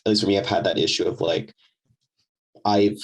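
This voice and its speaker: Young dude on a podcast, deep kinda husky voice, says like a lot, laughs a bunch. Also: male voice, fairly even intonation, a little fast, rough recording. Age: young adult. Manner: rambling, filler-heavy, laughs often. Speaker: guest. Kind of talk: casual conversation.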